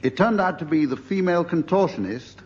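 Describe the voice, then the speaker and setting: A man's voice: a little high, animated, a little fast, a little mellow. A man chairing a comedy panel game, speaking as a broadcast host. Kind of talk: broadcast panel show hosting. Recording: lo-fi radio recording, noisy live show.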